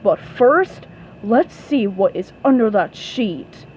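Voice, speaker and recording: A woman voicing an angry, menacing male cartoon ghost pirate in a booming, emphatic voice. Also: animated, slow pace, a little mellow. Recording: dull lo-fi, faint background noise.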